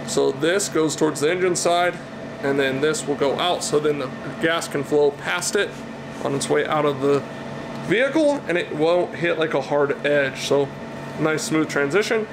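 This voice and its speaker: A man in his thirties with a slightly high-set voice talks casually through his work, thinking aloud as he goes. He sounds informal, like a builder explaining a project to viewers. A clear, steady air noise from the workshop runs behind him. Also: moderate pace. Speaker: car builder and vlog host. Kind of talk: casual hands-on build commentary. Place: metal-walled garage workshop, indoors. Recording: loud steady shop ventilation noise.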